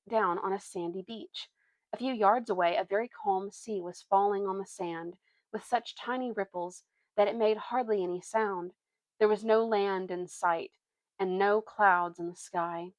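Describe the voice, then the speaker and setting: A woman storyteller reads a children's book aloud with animation. Her voice sits at an average pitch in a dry recording with very little room sound. She occasionally stumbles on a phrase and corrects it. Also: dry recording. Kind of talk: expressive read-aloud narration.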